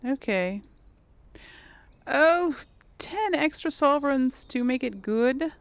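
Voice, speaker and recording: A woman's voice: high voice, lively intonation, slow, no echo. A woman hosting a casual gaming video, chatting and thinking aloud as she role-plays. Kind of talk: casual role-play game commentary.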